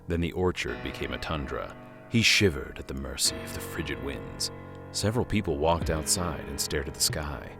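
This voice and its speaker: Very deep, gravelly male fantasy narrator. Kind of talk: dramatic scripted fantasy narration.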